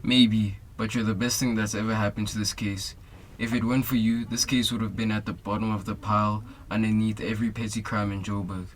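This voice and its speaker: A steady, supportive police detective with a South African accent played by a young man. His voice is on the low side. The lines are delivered as radio drama dialogue. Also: brisk, a little hiss or hum, natural voice, rough recording. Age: young adult.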